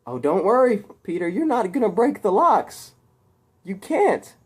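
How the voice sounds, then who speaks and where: A man's voice: sits high, big pitch swings, takes it slowly, a little mellow, pitched above the performer's own voice, exaggerated voice. A man voicing an unhinged young rich heir turned villain, speaking intensely in emphatic, ranting phrases. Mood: mocking.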